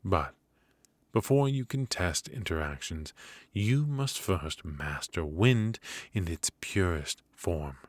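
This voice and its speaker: A man's voice: deep voice, expressive, no room sound. A man voicing a cold, stern royal magic professor. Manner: measured, stern, lecturing. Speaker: voice actor in ASMR roleplay. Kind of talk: ASMR roleplay.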